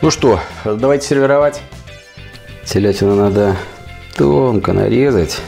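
A man in his forties, hoarse with a cold, giving casual, colloquial recipe instructions as a cooking show host. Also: lowish voice, a little slow. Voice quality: hoarse, husky. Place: home kitchen. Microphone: lavalier clipped to T-shirt. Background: guitar music.

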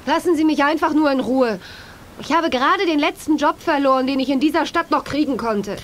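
Woman playing a bitter, higher-voiced young widow. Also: a little fast, natural voice. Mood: exasperated. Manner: blunt, emphatic. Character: bitter, wary widowed young mother, fiercely defensive. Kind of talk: German audio drama acting. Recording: noisy cassette transfer.

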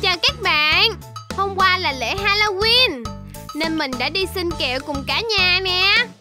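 Sweet little baby shark for a kids cartoon, super high voice, slow clear lines to the viewers. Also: female voice, over music. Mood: cheerful. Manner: exclamatory, addresses young viewers directly. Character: young baby shark, sweet, easily frightened, earnest. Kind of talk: children's cartoon acting.

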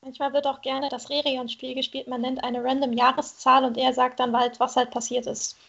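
A woman's voice: high voice, little pitch movement, normal speed, gravelly, fairly bright tone. A woman chatting casually as a participant in a group livestream over a video call. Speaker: stream participant. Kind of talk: casual chat. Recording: slightly dull video-call sound.

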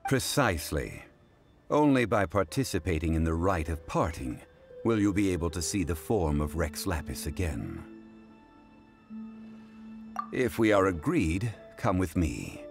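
Low solemn gentleman scholar, formal game dialogue, expressive pitch, music behind him. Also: normal speed. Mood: calm, dignified. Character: dignified, learned young-looking male funeral parlor consultant. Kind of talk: video game character dialogue.

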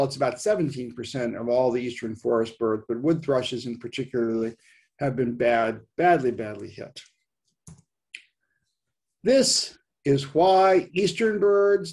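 A man's voice: medium pitch, darkish tone. A man in his sixties presenting an informal, explanatory nature lecture over a video call, leaning on the openings of his phrases. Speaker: presenter, science writer giving a nature talk. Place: indoors at home, on a video call.

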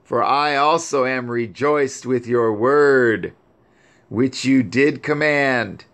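A man's voice: medium pitch, takes it slowly, a bit bright. A man reading the part of a grieving elderly mother, lamenting and pleading over her son. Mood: mournful.

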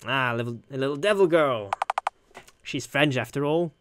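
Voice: medium pitch, normal speed, background noise. A person doing casual gameplay commentary, musing aloud in hesitant asides. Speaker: video game player reading and commenting.

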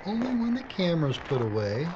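A man's voice: a little high, sing-song, a little fast. A man playing a wry cameraman in an audio drama. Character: wry, hard-drinking cameraman. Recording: muffled, clear background noise.

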